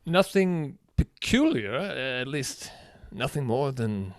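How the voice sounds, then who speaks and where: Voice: mid-range voice, very animated, slow. Flustered, stammering detective's sidekick. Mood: hesitant, uneasy. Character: bewildered, deferential friend and sidekick of a detective. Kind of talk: live radio drama acting. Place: closet or desk at home.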